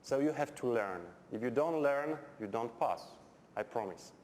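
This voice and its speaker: A Polish man with a noticeable Polish accent lectures in English, his second language, in a blunt, dryly humorous way. His pitch sits at an ordinary middle level for a man. The sound carries the long reverb of a lecture hall over a faint background hum. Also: expressive, normal speed, a little mellow. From Poland. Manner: blunt, direct, dry humour, simple phrasing. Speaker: chemistry lecturer. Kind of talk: university lecture.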